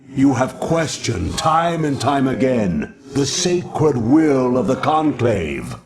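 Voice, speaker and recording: A man voicing a stern, zealous, haughty alien judicator with a pompous, declamatory delivery for a video game. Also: mid-range voice.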